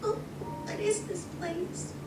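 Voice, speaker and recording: A woman's voice: extremely high pitch, fairly even intonation, takes it slowly. A woman voicing a timid, innocent, curious little pony filly in a fan radio play, speaking in a hesitant, childlike way. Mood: timid wonder. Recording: roomy, distant, loud music behind.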